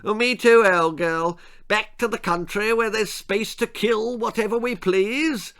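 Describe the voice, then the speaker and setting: Storybook villain acted by a man: lord, pompous, furious, blustering, loud and raised in pitch. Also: a little slow. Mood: weary, blustering. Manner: blustering, bellowing. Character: pompous upper-class English lord, furious bellowing huntsman. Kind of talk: children's storybook character voice.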